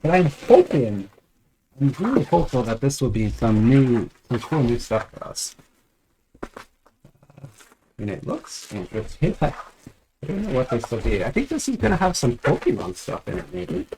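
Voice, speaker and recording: A man's voice: medium pitch, big pitch swings, moderate pace, gravelly, long pauses, mellow, slight background noise, rough recording. A man with a cold chatting casually while unboxing trading cards at home, rambling with many restarts and little chuckles. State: has a cold, voice a bit off. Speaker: trading card unboxing vlogger.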